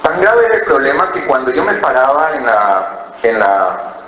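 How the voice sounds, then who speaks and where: A man's voice: medium pitch, sing-song, moderate pace, a bit bright, slight background noise. Man, pastor, Cali Colombian accent, storytelling sermon, roomy muffled church sound, faint ambience. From born in Ecuador, raised in Colombia. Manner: storytelling, mimics other voices, frequent "¿verdad?".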